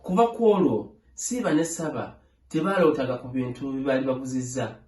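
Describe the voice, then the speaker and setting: An echoey room surrounds this man's mid-pitched voice. He reads a children's story as a scripted read-along. He takes it phrase by phrase, at a measured, careful storytelling gait. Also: a little mellow.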